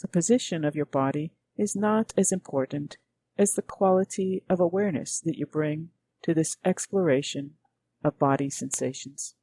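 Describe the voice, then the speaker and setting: A woman guiding a meditation as a teacher, speaking gently in measured, unhurried phrases with pauses. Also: deep voice, husky. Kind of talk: guided meditation narration.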